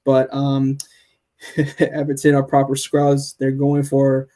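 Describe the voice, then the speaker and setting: A man hosting a live football chat, talking in an animated, playful way with lots of fillers and restarts. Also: mid-range voice, slow, a crowd in the background. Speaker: host.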